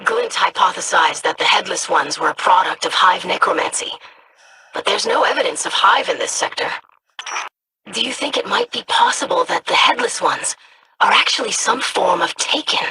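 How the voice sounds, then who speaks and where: A formal, scholarly lore investigator. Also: female voice, medium pitch, sing-song, sharp tone, slightly rough, exaggerated voice, low-quality recording. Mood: grave. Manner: measured, formal, analytical. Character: calm, scholarly woman investigator of dark lore. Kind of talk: video game voice lines.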